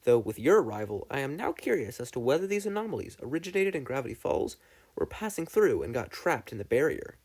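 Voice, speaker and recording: A man's voice: medium pitch, big pitch swings, brisk, a little mellow, slightly rough. A man voicing a curious, scholarly old great-uncle, an eccentric researcher who speaks formally in a story reading. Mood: intrigued. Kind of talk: fan fiction audiobook character reading.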